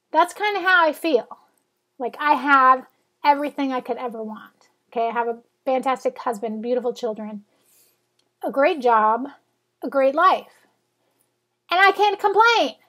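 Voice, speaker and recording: A woman in her forties, stuffed up from a cold, chatting in a rambling, self-correcting way as she talks to her blog readers. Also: high-pitched. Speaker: blogger. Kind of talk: casual vlog chat.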